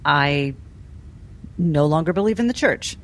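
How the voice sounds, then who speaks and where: A woman doing in-character tabletop role-play drama in a measured, deliberate, restrained way. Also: deep voice, animated. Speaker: role-play game player.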